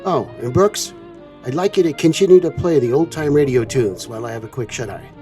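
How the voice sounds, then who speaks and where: A man's voice: medium pitch, animated, brisk, dark tone, faint music behind, natural voice. A man playing an easygoing trucker captain in a semi-scripted drama.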